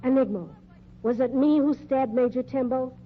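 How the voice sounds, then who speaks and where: An actress playing a shrewd, fearless circus sideshow woman in a forceful, tough-talking 1940s radio mystery style, on a muffled old recording. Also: high voice, moderate pace, a little hiss or hum, lightly acted. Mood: defiant.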